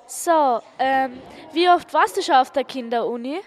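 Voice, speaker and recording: A woman's voice: high voice, measured pace. A young girl, asking questions in an informal radio interview. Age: child, about 8 to 12.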